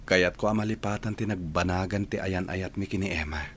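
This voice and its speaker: A man's voice: deep voice, fairly even intonation, fairly quick, fairly bright tone, slight background noise. A man acting in a radio drama as an earnest, heartbroken young graduate, with a Filipino Ilocano accent. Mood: resigned.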